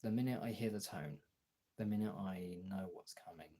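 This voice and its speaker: A man chatting casually as a streamer, thinking aloud haltingly as he searches for words and trails off. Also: deep voice, mellow, husky.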